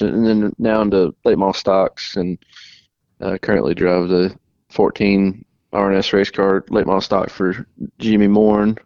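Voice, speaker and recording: Man, young adult, racing guest, relaxed halting talk, dry and slightly muffled sound. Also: deep voice, dry recording. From North Carolina. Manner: relaxed, halting, many restarts. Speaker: race car driver guest. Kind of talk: casual interview answers.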